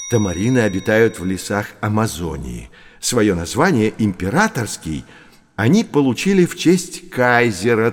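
Lively, animated friendly professor. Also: male voice, fairly low pitch, dry recording, studio quality. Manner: explanatory, expressive, addresses children kindly. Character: friendly male professor guiding curious children on adventures. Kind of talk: scripted educational audio drama.

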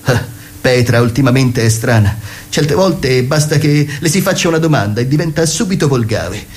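A man's voice: mid-range voice, lively intonation, moderate pace, a little mellow, close to an everyday voice. A man playing a flustered, gloomy doctor in a radio theatre parody, exclamatory and plaintive. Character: flustered, gloomy male poet-doctor with marital woes.

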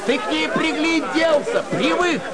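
Radio monologue, pompous jaded theatregoer, very slow, heavy crowd noise. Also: male voice, very high-pitched, expressive. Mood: disdainful, emphatic. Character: jaded theatregoer demanding nerve-shredding effect, pompous.

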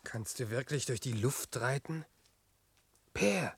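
An actor playing a hapless, gullible bridegroom in a radio play, coaxing in a hushed voice. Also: male voice, mid-range voice, lively intonation, slow pace, slightly rough, no echo, exaggerated voice. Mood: pleading. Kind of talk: theatrical radio play acting. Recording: radio drama with string music underneath.

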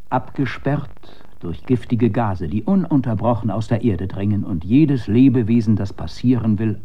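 A man narrating a literary radio story in a dramatic, measured and suspenseful way, letting phrase endings drop to a hush. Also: mid-range voice. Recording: slightly dull radio recording.

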